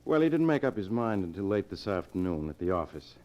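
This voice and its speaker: An actor playing a sly, calculating swindler who poses as a brother-in-law in an old radio drama. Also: male voice, deep voice, big pitch swings, fast talker, a little mellow. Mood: uneasy. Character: scheming male swindler posing as brother-in-law, calculating, smooth-talking. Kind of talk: golden age radio drama acting. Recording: muffled old radio show recording.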